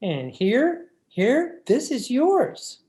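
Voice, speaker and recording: A henpecked, scheming husband from a radio horror play, played by a man. He coaxes slowly and deliberately, repeating himself, his pitch sliding widely up and down. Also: very animated, dark tone, exaggerated voice. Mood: coaxing, sly. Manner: coaxing, deliberate, repetitive. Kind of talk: old-time radio horror acting.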